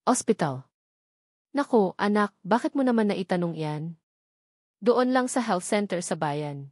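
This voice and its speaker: A woman's voice: mid-range voice, lively intonation, a little slow, a little mellow, no room sound. A woman storyteller plays an older Filipina mother in a romance audio story. She is affectionate yet guarded, with a motherly, questioning way of talking and a Filipino accent, recorded dry. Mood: guarded, concerned.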